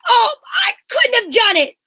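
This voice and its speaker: A woman's voice: very high voice, bright, forceful tone, heavily acted. A woman playing a defensive, indignant female murder suspect, flustered and exclamatory, in old-time radio mystery style. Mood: tearful.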